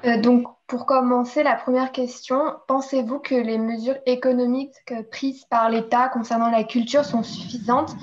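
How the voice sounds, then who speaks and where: A woman's voice: a little high, little pitch movement, normal speed, few pauses, a little mellow, dull audio. A young woman, a student journalist, reading out a question carefully on a video call. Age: young adult.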